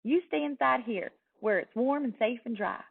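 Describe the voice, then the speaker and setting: A protective Southern mother from a storybook, voiced by a woman reader, admonishes quietly and plainly. Her voice has a creaky edge and a Southern accent. The recording is thin and phone-like, heard from a distance. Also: fairly high pitch, normal speed, dry recording. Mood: gentle, protective. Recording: distant, tinny phone-like sound.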